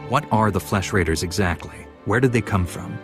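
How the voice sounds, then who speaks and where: An actor playing a confident, earnest young warrior apprentice in a video game, assured and direct. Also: male voice, on the low side, normal speed, over music, close to an everyday voice. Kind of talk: video game dialogue acting.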